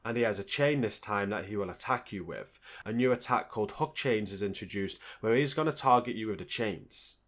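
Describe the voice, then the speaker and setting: A man's voice: lowish voice, a little fast, few pauses, a bit bright. Man narrating a game guide. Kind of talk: scripted narration.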